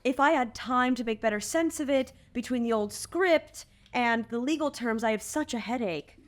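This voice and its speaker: A woman playing a calm, shrewd princess in a fantasy screenplay table read, speaking formally and diplomatically. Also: fairly high pitch, normal speed, natural voice. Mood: weary, wry. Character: calm, stoic, shrewd young princess bargaining with captors.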